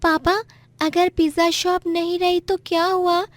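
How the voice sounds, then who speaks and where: A woman's voice: very high-pitched, flat delivery, measured pace, no room sound, clean studio sound. A woman voicing a cheerful, helpful young child with an earnest, childlike manner in a moral story. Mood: encouraging.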